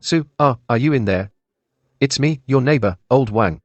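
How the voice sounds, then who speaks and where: A man's voice: mid-range voice, expressive, normal speed, dull audio, background noise, natural voice. A man playing a sinister neighbor who feigns friendliness, coaxing creepily in a motion comic horror dub. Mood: creepy coaxing.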